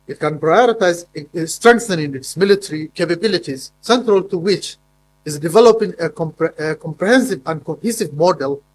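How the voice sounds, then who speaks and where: A man's voice: sits high, poor audio. Emphatic man giving a conference talk. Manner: emphatic, with frequent fillers and repeated words. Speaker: conference speaker. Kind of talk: formal conference presentation. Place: conference hall.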